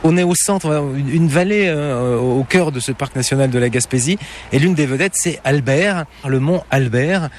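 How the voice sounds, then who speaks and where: A man's voice: mid-range voice, normal speed, a little hiss or hum. A man hosting a travel show, narrating and interviewing a guide outdoors with a playful, enthusiastic manner. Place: outdoors in a national park.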